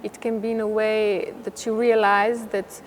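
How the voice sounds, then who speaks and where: A woman's voice: mid-range voice, flat delivery, measured pace. A woman who works in theatre, explaining her views in an interview. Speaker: theatre worker being interviewed. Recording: clearly noisy ambient background.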